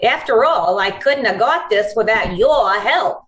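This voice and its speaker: Woman as female radio schemer, flirtatious, gushing, coaxing, very lively pitch, unhurried. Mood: coaxing, sweet. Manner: cooing, drawn-out, sugary. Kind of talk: old-time radio drama acting.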